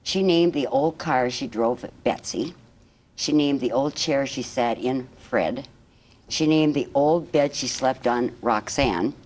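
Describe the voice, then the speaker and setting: A woman reading a children's picture book aloud as a guest storyteller, in measured, expressive phrases. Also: a bass voice.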